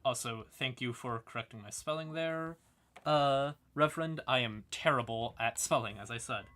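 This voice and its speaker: A man's voice: mid-range voice, normal speed, pushed and bright. A man hosting a casual livestream, explaining fantasy game lore in an informal, sweary, apologetic way while sounding a bit tired. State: tired, yawning. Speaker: livestream host.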